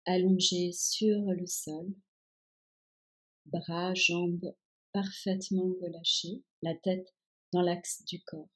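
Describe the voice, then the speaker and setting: A woman guiding a yoga nidra relaxation with calm, soothing instructions in a soft-edged, breathy voice. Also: fairly low pitch, slow, pauses often, low-quality recording. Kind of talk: guided meditation, relaxation instructions.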